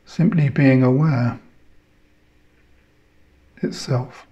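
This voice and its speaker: A man guides a meditation with a very slow delivery and a soft-edged, mellow tone. He speaks in short, measured phrases separated by long pauses. The recording sounds slightly dull and muffled. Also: mid-range voice.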